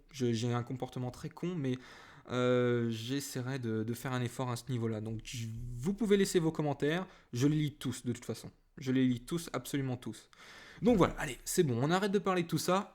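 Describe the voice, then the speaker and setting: Chatty, hesitant man at mid pitch. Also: darkish tone. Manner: hesitant, many fillers and restarts, chatty; self-deprecating, apologetic asides. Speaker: YouTuber opening trading card packs. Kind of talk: casual chat to camera.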